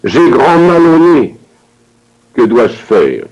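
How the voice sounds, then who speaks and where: A man reading scripted dialogue for a language course with an expressive, theatrical delivery. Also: mid-range voice, takes it slowly, rough recording.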